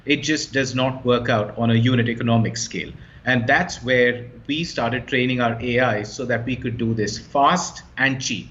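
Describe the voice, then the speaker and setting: A man's voice: medium pitch, steady tone, normal speed, a bit bright. Confident man, slightly muffled sound. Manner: fluent, confident, emphatic. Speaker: startup co-founder, guest. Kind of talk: explaining his business in an interview.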